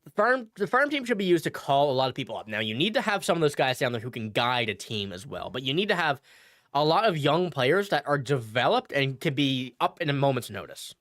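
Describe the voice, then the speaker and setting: A man's voice: mid-range voice, sing-song, a little fast, a bit bright, dry recording, studio quality. A man hosting a casual livestream, chatting and answering viewer comments. He is a bit sick, so he sounds slightly nasal. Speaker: sports channel host.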